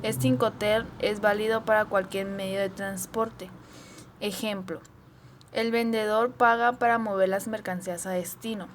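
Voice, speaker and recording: A woman reads prepared explanatory material as a presenter. Her voice is mid-pitched, with faint ambient noise behind it. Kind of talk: scripted explanatory narration.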